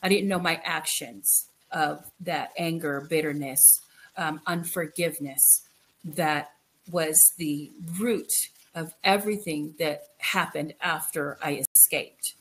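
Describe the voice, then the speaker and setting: Low-voiced middle-aged woman, noisy call. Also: fairly even intonation, slow, a bit bright. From Philippines. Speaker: guest, author. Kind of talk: candid personal account in an interview. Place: indoor room, video call.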